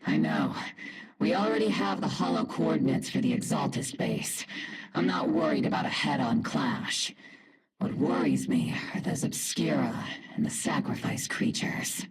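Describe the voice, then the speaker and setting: A woman playing a seasoned, laid-back army captain in a video game, with a husky, gravelly voice and a casual manner. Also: sits low, animated, moderate pace, noisy background. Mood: serious. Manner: casual, easygoing, informal.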